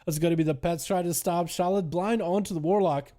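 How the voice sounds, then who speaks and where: A young man with a faint Russian accent, excitedly casting a player-versus-player game match. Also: high voice, fairly quick, soft background music. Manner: excitable, laughs often, says "dude" a lot. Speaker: gaming commentator.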